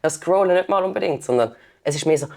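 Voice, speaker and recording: A young woman from Switzerland chats as a guest on a podcast. She talks casually, telling her stories in an animated way with sudden leaps in pitch. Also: vocal fry. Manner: chatty, quick shifts in pitch, animated storytelling. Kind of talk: casual conversation.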